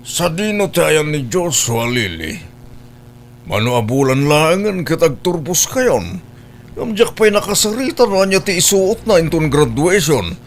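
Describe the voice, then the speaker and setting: A man's voice: a little high, very animated, measured pace, faint music behind. A man playing a stern, concerned middle-aged father in a radio drama. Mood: curious, expectant. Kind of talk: Ilocano radio drama acting.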